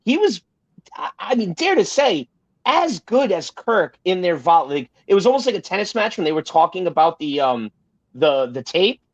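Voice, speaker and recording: A man's voice: high-pitched, very animated, moderate pace. A man co-hosting a casual podcast, talking in an emphatic, rambling way and swearing freely. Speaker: podcast co-host. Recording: roomy, slightly dull sound.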